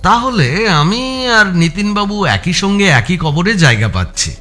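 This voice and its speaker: A man narrating a suspense thriller in a dramatic, expressive audio story style, with music behind him. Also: high voice, unhurried. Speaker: narrator reading a first-person thriller.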